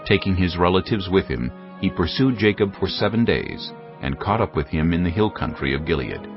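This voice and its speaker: Casting: male storyteller for audiobook, very low and animated, slightly muffled recording. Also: normal speed, gravelly. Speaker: narrator. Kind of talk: scripted audiobook narration. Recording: dull studio recording with music.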